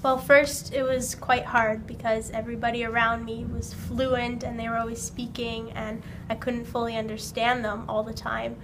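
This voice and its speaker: A soft-spoken woman answering interview questions earnestly. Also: on the high side, level tone, normal speed, few pauses, background noise.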